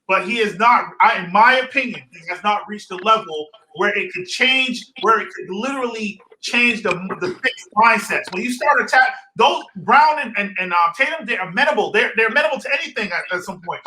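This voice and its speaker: Bright-voiced stammering man from Barbados. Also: sits high, lively intonation, fairly quick, few pauses. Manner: stammering, emphatic. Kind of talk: casual debate. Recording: echoey room with faint noise.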